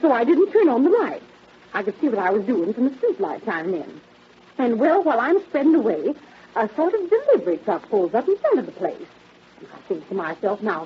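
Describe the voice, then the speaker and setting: An actress playing a chatty, flustered, homely lunch counter owner who rambles in a folksy way, in old-time radio drama style. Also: female voice, fairly high pitch, breathy edge, muffled recording, full character voice.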